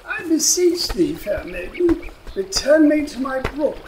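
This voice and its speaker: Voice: high voice, very animated, measured pace, darkish tone, a little hiss or hum. An actor in a radio play voicing a pompous, wordy magic fish who speaks in florid, old-fashioned phrases. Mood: florid, dramatic. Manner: pompous, florid archaic diction.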